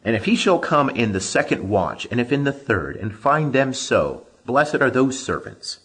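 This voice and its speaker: A man with a husky, slightly rough voice reads scripture aloud as solemn narration. His delivery is measured and declamatory, with a lively rise and fall in pitch and emphatic phrasing at a middling register. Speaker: Bible reader.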